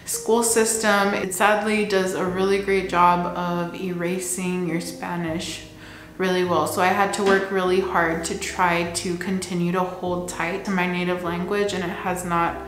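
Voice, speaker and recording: A woman's voice: lowish voice, little pitch movement, a little slow, reverberant. A woman in her twenties, a counselor from the Texas border region, talking candidly to the camera from a room at home and slipping in Spanish words. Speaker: licensed counselor. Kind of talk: casual talk to camera. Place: indoor home room with plants and shelves.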